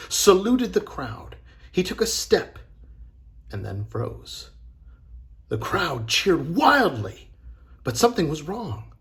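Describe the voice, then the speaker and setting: Man, teacher storyteller, deliberate slow pace, dramatic, highly varied intonation, children's read-aloud. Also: a bit bright.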